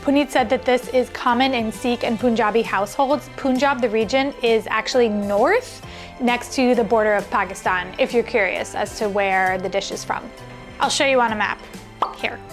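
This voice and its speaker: Female food vlog host, high voice, exclamatory and conversational, music underneath. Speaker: cooking show host. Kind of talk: casual food vlog chat. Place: indoor kitchen.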